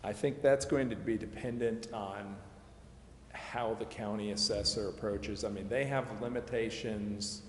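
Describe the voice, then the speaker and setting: American man, low voice, halting measured explanation, public meeting, very reverberant room. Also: steady tone. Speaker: advisor explaining city tax district plans. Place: council chambers. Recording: echoey council chamber, background hiss.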